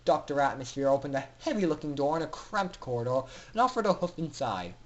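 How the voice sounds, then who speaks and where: Animated man narrating with theatrical emphasis. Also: fairly high pitch, quick pace, dull audio. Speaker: amateur story narrator. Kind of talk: dramatic fan fiction reading.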